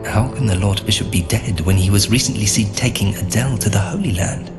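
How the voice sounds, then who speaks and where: Crusader hero for a game male actor: low-ish register, grave, earnest, burdened by his quest, formal diction over prominent score. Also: a little fast, over loud music, close to an everyday voice. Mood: grave, resolute. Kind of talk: video game cutscene acting.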